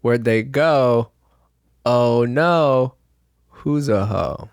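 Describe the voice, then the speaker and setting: A man's voice: mid-range voice, drawn-out words, clean tone, no room sound. A young man co-hosting a podcast, joking and swearing in playful banter. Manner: joking, profane, playful rhymes. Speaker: podcast co-host. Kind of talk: casual banter.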